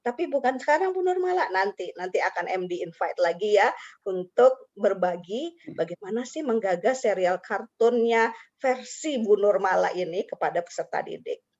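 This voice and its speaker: A woman's voice: mid-range voice, animated, moderate pace, a little mellow. Chatty woman hosting a video-call webinar. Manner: chatty, addresses participants by name with frequent "ya". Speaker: webinar host and teacher trainer. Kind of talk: friendly online webinar presentation. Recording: dull lo-fi video call audio.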